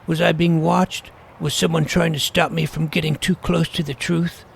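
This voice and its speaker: Guy playing eager kid detective telling his story, precocious and serious, slightly high crackly voice, some noise behind. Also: flat delivery, normal speed, gravelly. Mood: dramatic, wry. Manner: precocious, wordy, earnest, mock-serious. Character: earnest, eager schoolboy paranormal detective narrating his adventure. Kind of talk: first-person scripted audio drama narration.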